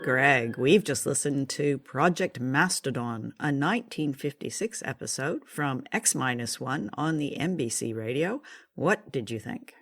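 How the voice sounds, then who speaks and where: A man's voice: medium pitch, lively intonation, unhurried, a bit bright. A man hosting a podcast discussion about old radio shows. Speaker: podcast host.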